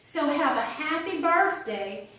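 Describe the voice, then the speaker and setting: A woman's voice: high voice, sing-song, takes it slowly, bright, forceful tone, pitched above the performer's own voice, exaggerated voice. A woman performing a theatrical monologue as a chatty, wry older woman, conversational and hesitant. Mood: playful, wry. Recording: distant, very echoey room.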